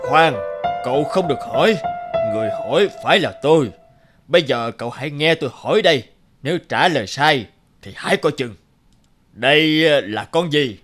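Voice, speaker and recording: An actor playing a smug, eccentric middle-aged landlord who bullies with theatrical mock courtesy in a cartoon fairy tale. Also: male voice, a little high, animated, moderate pace, a bit bright, faint music behind. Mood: sly, commanding.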